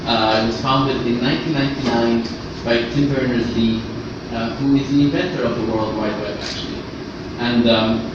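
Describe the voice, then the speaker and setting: A man raised in Austria giving a workshop presentation in a halting way, often repeating words as he goes. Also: medium pitch, fairly even intonation. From Austria, born in Egypt. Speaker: conference speaker, web standards specialist. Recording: echoey, noisy room, distant muffled microphone.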